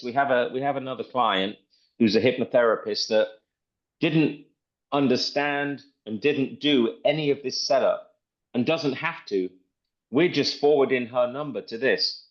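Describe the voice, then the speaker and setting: A man giving a casual live software walkthrough as presenter, enthusiastic and often repeating himself, on muffled online call audio. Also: mid-range voice.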